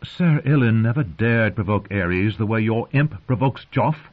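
A man's voice: on the low side, lively intonation, moderate pace, pitched above the performer's own voice. Man putting on a haughty queen, phone-like sound. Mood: indignant. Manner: arch, argumentative. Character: haughty, sharp-tongued queen mother, poisonously sweet. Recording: narrow, phone-like lo-fi audio.

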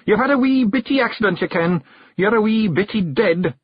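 A man voicing a tiny, brash, excitable fairy warrior with a Scottish accent and blunt, emphatic dialect talk, in a storybook. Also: high-pitched, fairly quick, slightly rough, no room sound, pitched above the performer's own voice. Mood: blunt, breezy. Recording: dull, lo-fi recording.

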